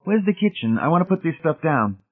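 A man voicing an uneasy, defensive, mocking man with a husky voice in a storybook reading. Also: on the high side, very animated, brisk, narrow, tinny audio, voice pushed up. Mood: cautious, apologetic.

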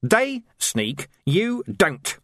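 Guy putting on a high sneery nasty villain, flips into manic shouting, dry sound. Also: huge pitch swings, moderate pace, no room sound, heavily acted. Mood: ranting. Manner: sneering, bursts into rage.

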